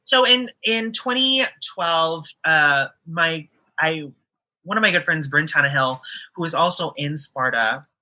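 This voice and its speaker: A woman talking as a guest on a casual podcast interview. Her voice is very bright and forward. She speaks earnestly and often pauses on um before picking her thought back up. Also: low voice, poor audio. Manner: earnest, frequent um fillers and restarts.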